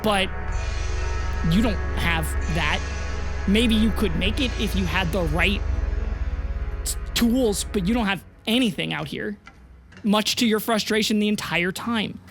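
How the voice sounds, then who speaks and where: A woman narrating a tabletop roleplay audio drama as game master, casual in wording with dramatic pauses. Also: mid-range voice, over music.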